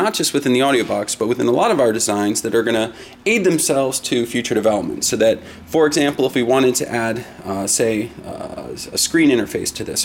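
A man's voice: mid-range voice, a little hiss or hum. Man explaining a product, step by step. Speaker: product demonstrator. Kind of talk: semi-scripted product demonstration.

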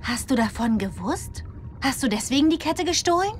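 A woman's voice: sits high, animated, moderate pace, slight background noise. A woman playing a brave, caring young mermaid heroine in a children's audio drama. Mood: concerned.

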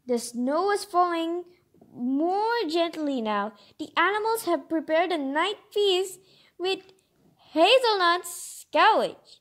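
A woman reading a picture book aloud expressively, adding informal, enthusiastic asides of her own. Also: sits high. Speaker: storybook reader and commentator. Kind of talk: read-aloud storytelling with chatty asides.

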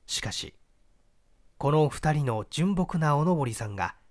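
A man narrates literary fiction as an audiobook reader. His storytelling is expressive, with animated movement in pitch. The voice sits slightly high for a man. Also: normal speed.

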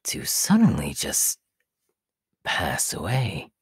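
A sly, power-hungry immortal villain for an ASMR roleplay. His voice is extremely husky and breathy, slipping into a hissing whisper. He draws his words out very slowly with menacing politeness, close and dry on the mic. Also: sits low, wildly swooping pitch, no room sound, full character voice. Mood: conspiratorial. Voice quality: raspy, breathy, half-whispered hiss. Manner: slow, drawn-out, menacingly polite. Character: sinister, power-hungry immortal male body-stealer, sly and scheming. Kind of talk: ASMR character roleplay monologue.

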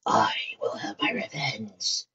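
A woman voicing a menacing, vengeful phantom in a breathy voice for a story. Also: extremely low pitch, lively intonation, slow pace, pushed and bright, lo-fi sound, exaggerated voice. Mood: menacing whisper. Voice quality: breathy, whispered or thundering. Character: vengeful menacing phantom, rasping threats of revenge.

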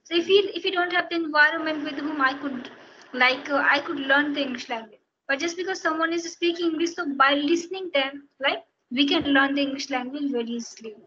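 A woman's voice: high voice, a little fast. A woman from Bihar with an Indian accent and native Hindi, explaining steadily as an English-teacher guest on a video call. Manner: steady, explanatory, repeats phrases while thinking. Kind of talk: casual interview on a video call. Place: small room indoors. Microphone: headphones on a video call. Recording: dull lo-fi video call audio with faint noise.

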